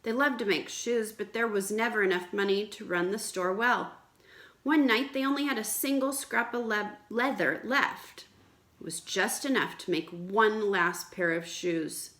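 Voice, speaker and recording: A woman teacher reading aloud to children in an expressive, explanatory way, with questions for the listeners. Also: mid-range voice, unhurried. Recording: echoey room.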